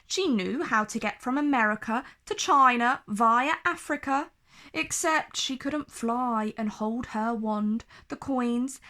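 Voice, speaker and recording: Slow, high-voiced woman reading a children's story. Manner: expressive, deliberate storytelling for children. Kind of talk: scripted storybook narration read aloud.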